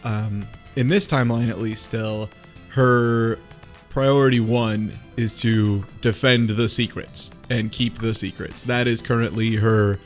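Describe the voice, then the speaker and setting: A man's voice: fairly low pitch, steady tone, unhurried, faint music behind. A man in a casual group discussion about a game, making wry jokes. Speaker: podcast co-host.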